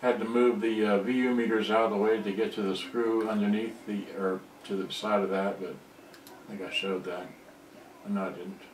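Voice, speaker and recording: A man giving casual, off-the-cuff commentary as a repair hobbyist, talking in a rambling, halting way. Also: fairly low pitch, little pitch movement. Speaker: electronics repair hobbyist presenting. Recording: roomy, distant mic, faint noise.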